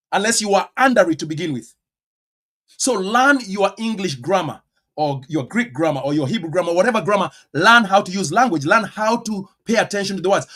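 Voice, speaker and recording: Emphatic high-pitched Kenyan pastor. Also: male voice. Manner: emphatic, rhetorical questions, swings between loud stresses and quiet asides. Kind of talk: sermon teaching.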